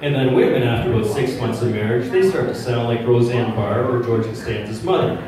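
A man's voice: mid-range voice, fairly even intonation, a little fast, far from the mic. A middle-aged man born in Czechoslovakia, without an accent, doing a crude stand-up set in a casual, conversational way. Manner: conversational, crude, asks the crowd "right?". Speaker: stand-up comedian. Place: small pub comedy stage with audience. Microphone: handheld mic, filmed from far back. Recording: roomy pub sound from afar. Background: loud crowd laughter.

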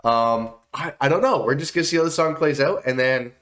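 A young man with a stuffy, nasal voice from a cold, giving excitable, swear-heavy commentary while reacting to songs in a small home room. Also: medium pitch, a little fast. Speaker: music reaction streamer. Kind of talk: casual music reaction commentary.

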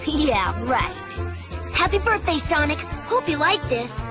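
A high, earnest little boy fox. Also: sing-song, slow, full character voice. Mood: cheerful. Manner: childlike, earnest. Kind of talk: video game cutscene acting. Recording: music behind the voice.

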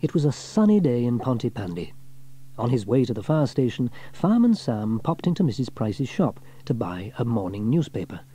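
A man narrating a scripted children's story as an audiobook reader, with expressive storytelling delivery. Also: medium pitch, moderate pace, husky.

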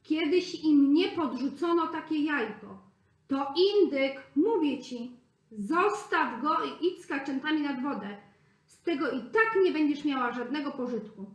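Lady playing a pushy know-it-all duck neighbour, loud, high, echoey. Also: animated, measured pace. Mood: bossy. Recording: echoey room.

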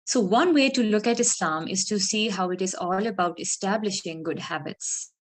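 A woman gives a sermon in a calm, earnest manner, reflective and unhurried in tone. Her voice sits at a middling pitch for a woman. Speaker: khutbah speaker. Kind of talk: measured sermon.

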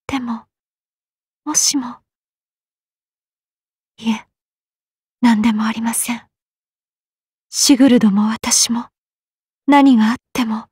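A woman voicing a gentle, melancholy valkyrie maiden in a video game, whispery and hesitant. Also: on the high side, dry recording, clean studio sound, exaggerated voice. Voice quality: breathy, whispery. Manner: slow, hesitant. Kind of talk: video game character voice lines.

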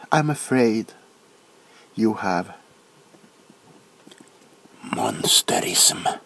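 A soft-spoken man playing a nervous, eccentric doctor in a comedic ASMR roleplay, hesitant and repetitive, often dropping into whispers. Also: mid-range voice, husky, exaggerated voice. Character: nervous, flustered, eccentric male doctor examining a monster. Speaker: ASMR performer. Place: small cluttered room at home. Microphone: close to the camera.

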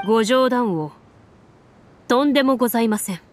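A woman's voice: a little high, moderate pace, natural voice. A woman voicing a polite, deferential royal guard in a story game, formal and earnest. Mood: respectful. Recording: soft music under the voice.

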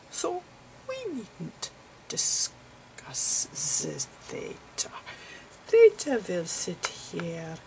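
A woman voicing an eccentric, patient male foreign physicist in an ASMR roleplay, whispery, with halting non-native grammar. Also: fairly low pitch, noisy background, full character voice. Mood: playful. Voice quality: whispery, breathy.

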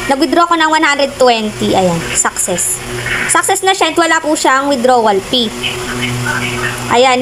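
Chatty high-voiced woman on a noisy phone recording. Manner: chatty, filler-heavy, addresses viewers as guys. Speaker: vlogger. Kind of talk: casual screen-recording walkthrough.

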